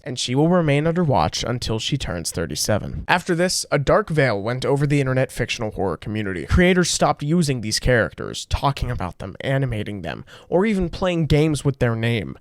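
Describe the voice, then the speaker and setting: A man's voice: medium pitch, dry recording. A man giving a scripted narration for a video essay.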